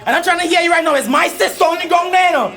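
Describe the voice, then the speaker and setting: A man's voice: very high voice, rapid-fire, creaky, full character voice. A man playing furious grieving young Trinidadian man. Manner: furious, shouting, repeating himself. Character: angry young man whose sister was shot. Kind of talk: radio drama acting. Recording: music behind the voice.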